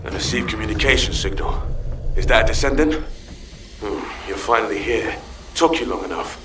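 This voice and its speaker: An actor playing a frustrated, capable field operative in a sci-fi shooter game, with a terse, gritty manner. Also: male voice, lowish voice, lively intonation, moderate pace, close to an everyday voice. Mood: weary, businesslike. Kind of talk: video game voice acting. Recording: roomy, distant, noisy game audio.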